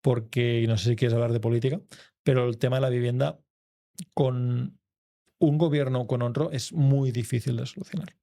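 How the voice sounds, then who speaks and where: A man, a guest real estate investor, speaking in a measured, explanatory way in an interview. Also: medium pitch, fairly even intonation, gravelly, mellow. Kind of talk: conversational interview.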